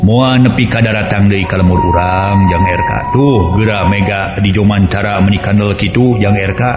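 A calm, devout male village cleric played by a storyteller, reassuring and conversational, in a lowish voice. Music runs beneath the echoing folk-tale recording. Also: normal speed, natural voice.

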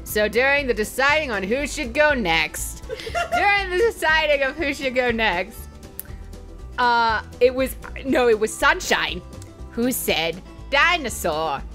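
A woman with a fairly high, very bright voice hosts a casual game recap. She is chatty and sweary, joking her way through the story. Music plays behind her. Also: big pitch swings, measured pace. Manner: chatty, sweary, with playful mock voices for quotes. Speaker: let's play host.

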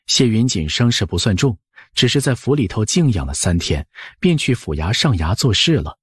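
Low, husky, expressive male narrator. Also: moderate pace, no echo, clean studio sound. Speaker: audiobook narrator. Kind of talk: scripted story narration.

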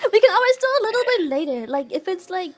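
A woman from Europe with a very high voice that leaps up and down as she chats. She giggles a lot and trips over her own words, loose and easygoing. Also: big pitch swings, a little fast. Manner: giggly, scattered, self-correcting. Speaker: guest streamer. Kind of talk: casual stream chat.

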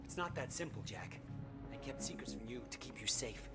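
A man's voice: mid-range voice, lively intonation, brisk, close to an everyday voice. A man playing an anxious, defensive older brother on the run in a dramatic video game, urgent and insistent. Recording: loud game music and effects behind.

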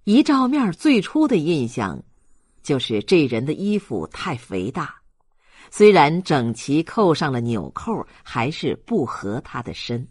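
A male audiobook narrator with a husky voice. He reads literary prose slowly and expressively, his pitch moving in a lively way. The sound is close and dry. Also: no room sound.

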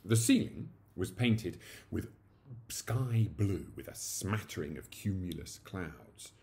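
Male narrator, 40s, very low voice with lively swoops, theatrical comic storytelling. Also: takes it slowly. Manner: theatrical, animated. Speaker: story reader. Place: small indoor room at home.

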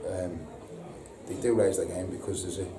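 A man, a football manager giving a halting post-match interview full of repeated words and ums. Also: sits low, level tone. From England. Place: indoor press room before a sponsor board. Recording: echoey room, distant mic, audible hiss.

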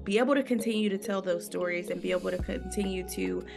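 A woman's voice: lowish voice, fast, gravelly, over music. A woman from North Carolina, a children's book author, speaking earnestly over a video call with frequent "um" and "you know". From North Carolina, USA. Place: room with a window and plants.

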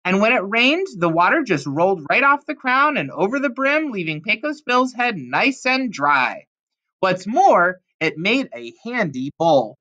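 A woman's voice: mid-range voice, very animated, measured pace, a bit bright, dull audio. A woman reading a children's storybook aloud expressively, with dramatic emphasis on key words. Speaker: storybook reader.

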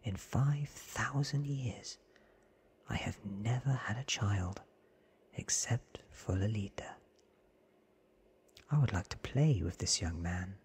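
A man in his thirties reading a story aloud in a soft, breathy whisper, close to the microphone in ASMR style. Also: on the low side. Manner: slow, deliberate, hushed delivery. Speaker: reader on a whisper channel. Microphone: close microphone in front of the mouth.

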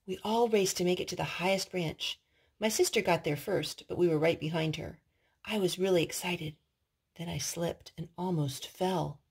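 A woman's voice: on the low side, creaky, a little mellow, breathy edge. A woman doing storytime at home, talking simply and instructively to young children as she reads and asks them questions. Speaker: parent reading to and singing with young children. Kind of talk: storytime reading and sing-song talk to small children. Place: living room at home, indoors.

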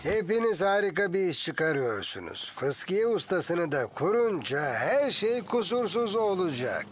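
A man's voice: sits high, very animated, measured pace, a little hiss or hum. A man voicing a helpful, chatty shopkeeper in a cartoon dub. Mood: encouraging. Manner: friendly sales talk.

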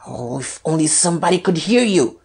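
A woman's voice: very deep voice, measured pace, slightly rough, exaggerated voice. A woman voicing a mocking, hot-tempered male police detective in a dramatized audiobook. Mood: furious. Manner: mocking, taunting, excitable.

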